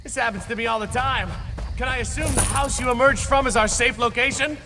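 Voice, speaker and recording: A man's voice: very high-pitched, fairly even intonation, brisk, noisy background. A man playing a flippant, self-mocking young adventurer in a video game, with a wry, breezy manner. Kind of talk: video game character acting.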